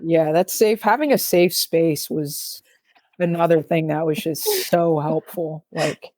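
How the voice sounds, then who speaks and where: A woman's voice: low voice, unhurried, dark tone, no room sound. A woman chatting casually as a podcast guest, with an informal, joking and playfully sarcastic manner. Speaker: film director, podcast guest. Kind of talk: casual chat.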